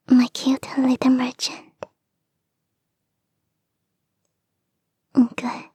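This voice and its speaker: Breathy, whispering, clingy fox-spirit girlfriend, acted by a woman. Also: sits high, dark tone, dry recording, clean studio sound, full character voice. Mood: playful, coaxing. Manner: coy, doting, playfully teasing. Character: clingy, affectionate, teasing young female fox spirit girlfriend. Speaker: voice actor in a roleplay. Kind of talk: ASMR roleplay, intimate and whispered.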